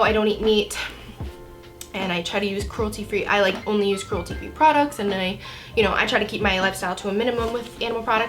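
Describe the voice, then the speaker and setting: A twenty-year-old American woman from Florida with a cold, chatting casually and informally to camera as a book vlogger. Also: medium pitch. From Florida, United States. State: has a cold.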